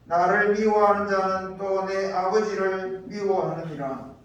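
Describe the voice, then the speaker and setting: Echoey, high-voiced male pastor preaching. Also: steady tone, measured pace, muffled recording. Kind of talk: scripture reading and sermon. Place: church hall. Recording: echoey church, distant mic, faint noise.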